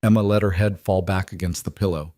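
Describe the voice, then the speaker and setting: A man narrating a scripted military drama story in a steady, measured way. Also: low voice, dry recording, studio quality.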